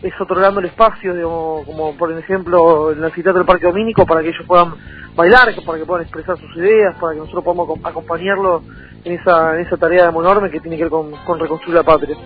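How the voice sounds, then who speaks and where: A man with a Rioplatense Argentine accent talking politics as a radio interview guest, emphatic and repetitive, with halting phrasing. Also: on the high side, steady tone. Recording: tinny phone-like sound over music.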